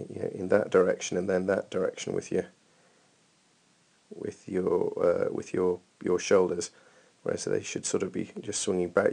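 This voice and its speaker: A man's voice: low-pitched, vocal fry, darkish tone, breathy edge. A man giving informal running technique feedback over a training video as a coach, thinking aloud in a hesitant way.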